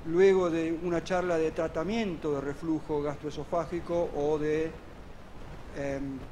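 A man's voice: fairly high pitch, a little mellow, slight background noise. A middle-aged man giving a medical conference lecture at a podium in a large hall, explaining earnestly and posing rhetorical questions. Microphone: podium microphone on a stand.

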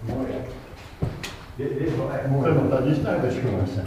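A man's voice: medium pitch, normal speed. A man chatting casually as he explores and films inside an empty abandoned building. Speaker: urban explorer filming. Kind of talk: casual chat while exploring. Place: inside abandoned convent, bare rooms and corridors. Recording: echoey empty building, distant, loud handling noise.

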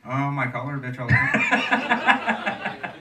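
A young man co-hosting a live podcast on a club stage with a handheld mic, rambling through stories and swinging between loud and soft. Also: medium pitch, huge pitch swings, slow, recorded from a distance, noisy background. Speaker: podcast co-host. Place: comedy club stage before an audience.

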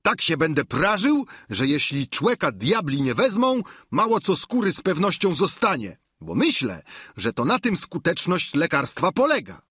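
A man voicing a wily, grumbling peasant squire in a storybook reading, exclaiming, whining and haggling loudly. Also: fairly high pitch, huge pitch swings, moderate pace, husky, dry recording, clean studio sound, voice pushed up, heavily acted. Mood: insistent, whining.

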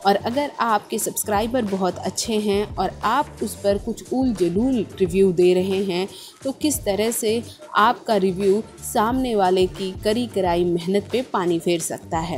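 A woman's voice: medium pitch, a little mellow. Woman narrating gossip news over music. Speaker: entertainment news presenter. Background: background music.

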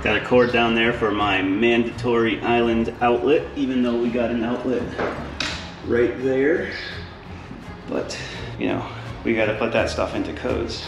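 A man's voice: medium pitch, steady tone, unhurried. A man narrating a DIY renovation vlog in a casual, informal way, explaining things hands-on as he works. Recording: echoey room, music underneath.

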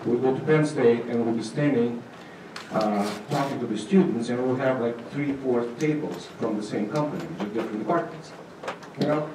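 A middle-aged man giving an informal conference presentation, halting and dryly humorous. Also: mid-range voice. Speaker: conference presenter, state agency manager. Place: auditorium stage. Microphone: handheld microphone, recorded from far camera. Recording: echoey hall, distant, noisy room sound.

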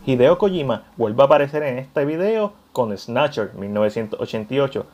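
A man presenting a scripted video essay as channel host, addressing viewers in an expressive voice-over. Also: mid-range voice, lo-fi sound.

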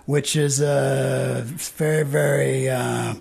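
A man's voice: mid-range voice, steady tone, slow pace, gravelly, a little mellow. A man being interviewed as a band's songwriter, chatting casually and hesitantly with quirky dry humour. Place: live in a radio studio.

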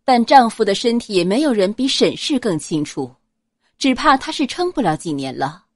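A woman narrates a novel in an expressive, dramatic storytelling style. Her intonation is lively and varied, and the recording is close and dry. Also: on the high side, moderate pace, dry recording. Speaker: audiobook narrator.

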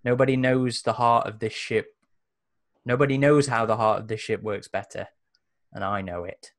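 A man's voice: fairly low pitch, fairly even intonation, moderate pace. A man in his thirties chatting casually as a host and player in a tabletop roleplaying game, on an indoor call. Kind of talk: casual chat. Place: indoor room on a video call.

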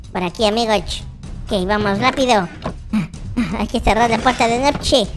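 A host voicing a baby boy character in a very high, childlike voice, giving excitable, exclamatory Minecraft roleplay commentary and repeating words. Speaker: gaming video host. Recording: children's music behind the voice. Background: loud playful children's music.

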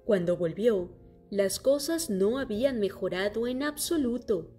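Woman storyteller, mellow tone, children's story read aloud, soft music underneath. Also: medium pitch, expressive, unhurried, husky. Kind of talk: scripted children's story narration.

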